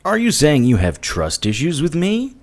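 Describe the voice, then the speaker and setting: A man's voice: on the high side, huge pitch swings, normal speed. An actor playing a wisecracking, weary adult male hero in an audio drama, dry and sardonic. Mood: bantering. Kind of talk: audio drama acting and narration.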